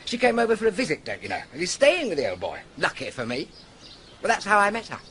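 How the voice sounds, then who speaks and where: An actor playing a breezy, dim-witted young aristocrat who chatters evasively and stammers. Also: male voice, high voice, wildly swooping pitch, fast, a bit bright, slight background noise, full character voice.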